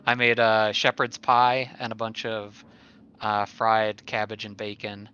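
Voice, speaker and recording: A man with a lowish, flat voice makes casual small talk as a tabletop game player. The recording is slightly dull and lo-fi. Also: a little slow, clean tone. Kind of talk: casual chat.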